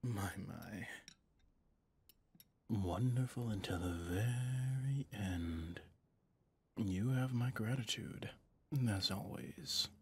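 A man playing a suave, possessive villain boss in an intimate ASMR roleplay, speaking in a courtly, seductive way with a breathy, husky voice. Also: lowish voice, very slow, pauses often, exaggerated voice. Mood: gentle, courteous. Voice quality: breathy, husky, often near a whisper.

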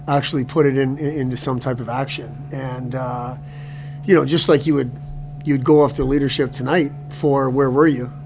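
A middle-aged male hockey coach answers reporters after a game in a steady, measured way. He stumbles and repeats words as he works through his answers. A clear hum runs behind his voice. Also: medium pitch. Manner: halting, repeats words, many restarts. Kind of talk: postgame press conference. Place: press room before a sponsor backdrop.

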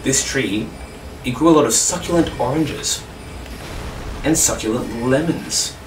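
A man's voice: mid-range voice, measured pace. A man joking crudely and casually as he chats over gameplay and makes up silly stories. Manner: jokey, crude, giggly. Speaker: gaming video co-host improvising stories. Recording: distant mic with faint noise, roomy.

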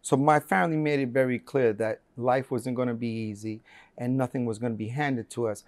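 A man's voice: medium pitch, steady tone, fairly quick, no room sound. A man in his forties from Colombia, telling his life story in an earnest interview with many fillers and restarts, on a lapel mic. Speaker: interview guest. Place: bright room with large windows.

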